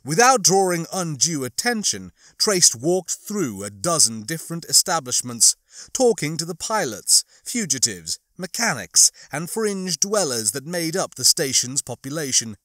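A man's voice: a little high, big pitch swings, unhurried, bright, forceful tone, no room sound, clean studio sound. A man narrating and hosting an audiobook, reading in a storytelling style. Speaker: audiobook narrator and channel host. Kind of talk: scripted dramatic narration with casual asides.

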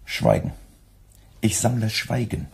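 Very husky, deadpan young editor. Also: male voice, on the low side, slow pace, exaggerated voice. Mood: deadpan, hesitant. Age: young adult. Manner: deadpan, laconic. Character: weary, wry young radio editor. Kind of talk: German radio play acting.

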